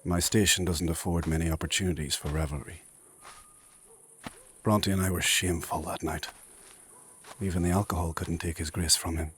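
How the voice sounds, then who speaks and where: A man playing an ancient, proud and solemn warrior in a dark fantasy audio drama, speaking formally and gravely. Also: steady tone, moderate pace, pauses often, slight background noise, natural voice. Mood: wistful, grave. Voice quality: husky, very deep.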